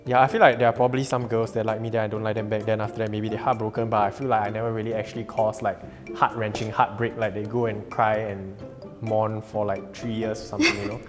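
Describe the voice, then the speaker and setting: A man's voice: on the low side, flat delivery, quick pace. A young man in his twenties with a Singaporean accent, chatting playfully and joking on a blind date in a studio, on a lapel mic. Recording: music bed and long reverb.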